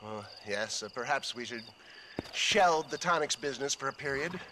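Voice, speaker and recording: A man's voice: mid-range voice, animated, moderate pace, background noise, lightly acted. An actor playing an aging, pompous snake-oil salesman in a western game, florid, theatrical and wheedling. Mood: sly, subdued. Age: older. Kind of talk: theatrical video game acting.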